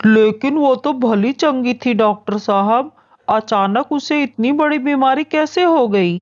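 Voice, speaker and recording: A woman's voice: high voice, takes it slowly, darkish tone, highs cut off. A woman voicing a tender, worried widowed father bird in a children's cartoon story, earnest and pleading. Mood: distressed.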